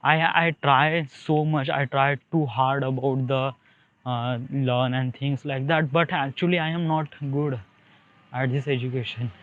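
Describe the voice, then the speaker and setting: A man's voice: mid-range voice, fairly even intonation, a little slow. A young man with an Indian accent rambles casually in a monologue, hesitating and repeating himself as he searches for words. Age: young adult. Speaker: solo podcaster. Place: outdoors beside a highway, walking. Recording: thin phone-line-like sound.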